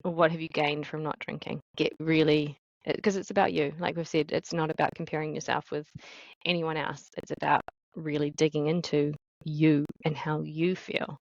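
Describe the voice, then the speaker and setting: A woman's voice: low voice, little pitch movement, moderate pace, vocal fry, no echo, muffled recording. A woman co-hosting a podcast interview, speaking thoughtfully and asking curious questions. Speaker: podcast co-host.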